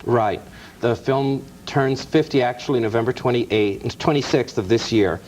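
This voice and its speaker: A man's voice: mid-range voice, normal speed, a little mellow, slight background noise, low-quality recording. A man, a guest author, explaining film history in detail in an interview, with small restarts. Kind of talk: interview talk. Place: TV studio.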